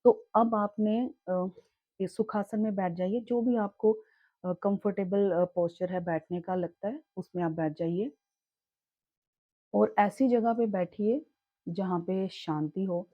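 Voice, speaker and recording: A young woman teaching in a calm, reassuring way, with a sore throat that makes her clear it often. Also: medium pitch, slow, dry recording, lo-fi sound. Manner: calm, reassuring, mixes English words into her talk. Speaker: chakra healing teacher. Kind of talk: casual spoken tutorial.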